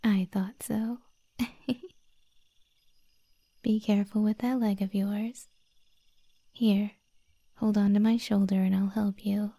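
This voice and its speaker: A woman playing a kind, flirty witch healer in a soft-spoken, half-whispered ASMR roleplay, slow, soothing and gently teasing. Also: mid-range voice, little pitch movement, husky. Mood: teasing, coaxing, then soothing, caring.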